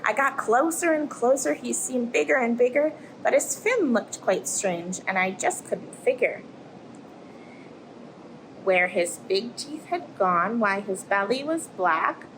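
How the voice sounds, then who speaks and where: A friendly, plucky little octopus played by a woman tells a rhyming storybook tale. The delivery is expressive and swings widely in pitch, over clear background noise. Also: measured pace, poor audio. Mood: anxious, suspenseful. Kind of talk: rhyming picture-book read-aloud. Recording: clear background noise, aquarium room ambience.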